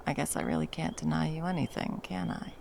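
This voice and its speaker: A woman's voice: deep voice, gravelly, darkish tone, slight background noise. A woman voicing a centuries-old female monster hunter in an ASMR roleplay. She talks bluntly and swears a lot, then drops into tender murmurs.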